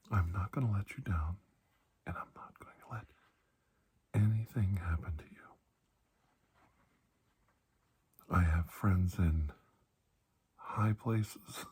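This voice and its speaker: A man acting as a calm doctor in an ASMR roleplay, with a very breathy, husky voice that sits low. He soothes his patient in slow, halting phrases, often dropping close to a whisper. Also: heavily acted. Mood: soothing, reassuring. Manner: measured, halting, soothing delivery. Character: calm, reassuring male doctor treating a patient. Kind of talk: ASMR roleplay, hushed storytelling.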